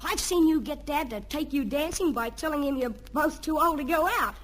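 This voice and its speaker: Woman playing a high-voiced cheeky Australian schoolboy. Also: normal speed, lightly acted. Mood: cocky, wheedling. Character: cheeky scheming schoolboy son, eager and cocky. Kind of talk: old-time radio comedy acting. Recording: noisy old radio recording.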